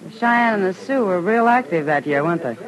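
A woman's voice: lowish voice, animated, brisk, a crowd in the background, close to an everyday voice, rough recording. An actress playing a frank, knowing frontier saloon woman in an old-time radio western, with an American accent. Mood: probing, knowing. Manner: direct, probing, casual. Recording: old radio drama recording.

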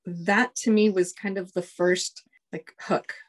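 Relaxed woman telling an anecdote. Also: fairly low pitch, takes it slowly. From United States. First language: Spanish. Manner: relaxed, chuckles often, acts out quotes. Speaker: guest, Air Force colonel. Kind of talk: casual storytelling interview.

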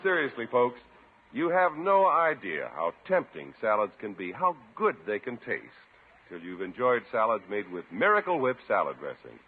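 A man's voice: medium pitch, wildly swooping pitch, moderate pace, phone-quality sound, a little hiss or hum. An American man working as a 1950s radio announcer, delivering emphatic sales talk. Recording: band-limited old radio recording.

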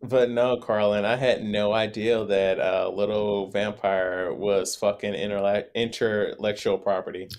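A man in his thirties on a podcast, reacting in an animated, disbelieving way during a casual chat. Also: lowish voice, a little slow, few pauses, clean tone. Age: 30s. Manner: animated, incredulous reactions, laughs and swears.

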